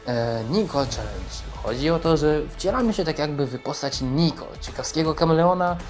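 A man's voice: a little high, expressive, moderate pace, faint music behind. A young man giving a casual video introduction in a hesitant, rambling way. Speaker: gamer and amateur voice-over creator.

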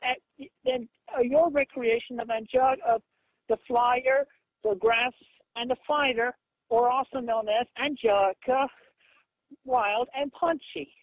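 A woman on a lo-fi phone call, complaining in a halting, repetitive way with stiffly formal wording. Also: high-pitched, lively intonation, dry recording. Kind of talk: aggrieved phone conversation. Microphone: telephone. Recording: dull, lo-fi phone line.

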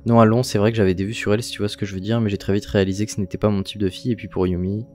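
An earnest teenage schoolboy, voiced by a man in a visual novel. He talks quickly and confidingly, with music underneath. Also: fairly low pitch, level tone, warm tone, natural voice.

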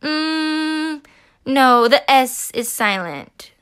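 A woman's voice: high-pitched, lively intonation, extremely slow, a bit bright, voice pushed up, heavily acted. A woman playing a polite cartoon state from a storybook, hesitating through a phone call. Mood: hesitant, polite.

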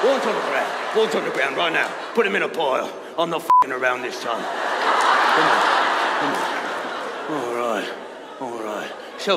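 A man playing a gruff, villainous impostor posing as a veteran professor in a comedy staged reading, blustering and menacing with mock friendliness. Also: mid-range voice, lightly acted. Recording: noisy echoey hall with long reverb.

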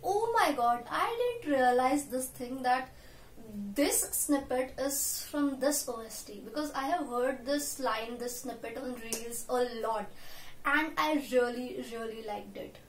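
A woman's voice: high-pitched, measured pace, slight background noise. A young woman from India with an Indian English accent, chatting casually to camera as a reaction vlogger, self-deprecating and full of "so yes" fillers. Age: young adult. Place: small room at home, indoors. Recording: roomy home room echo, faint music.

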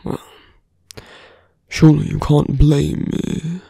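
Man acting a slow, breathy, seductive villain. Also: a little high, flat delivery, warm tone, no room sound, clean studio sound, exaggerated voice. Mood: smug, persuasive. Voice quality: breathy, often whispering. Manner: slow, languid, taunting. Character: seductive, teasing fantasy villain man, smugly menacing. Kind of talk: ASMR roleplay voice acting.

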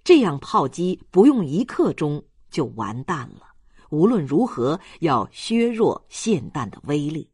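Female audiobook narrator, lower register, close dry sound, scripted storytelling. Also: big pitch swings, a little slow, a little mellow, husky, no room sound.

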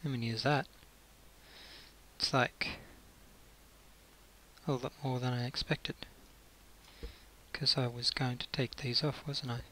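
A man's voice: medium pitch, steady tone, takes it slowly, pauses often, sharp tone, rough recording. A man giving casual gameplay commentary as he builds, muttering to himself and thinking aloud. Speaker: gaming video creator. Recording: faint hiss and clicking.

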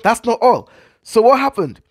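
A man's voice: extremely high pitch, very animated, normal speed, no room sound. A man in his thirties with a Nigerian accent, vlogging casually and animatedly on a headset mic in a small room, repeating points for emphasis. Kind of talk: casual vlog monologue.

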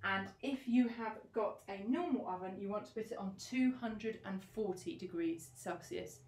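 A young woman teacher in her home kitchen, gently explaining each cooking step to children as she works. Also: medium pitch, moderate pace.

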